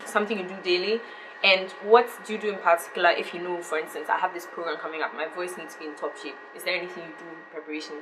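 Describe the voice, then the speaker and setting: A woman with a slightly low voice fires off questions at a brisk pace. Noticeable background noise runs under her. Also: fairly even intonation. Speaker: interviewer, team member. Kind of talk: asking questions.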